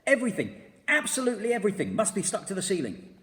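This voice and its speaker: A high, eager monkey barking out orders in a rush of excitement as a man puts him on for a storybook reading. His voice leaps up and down and trails off into a long reverb. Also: brisk. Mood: excited bossing. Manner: excited and commanding. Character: excitable bossy male monkey leader.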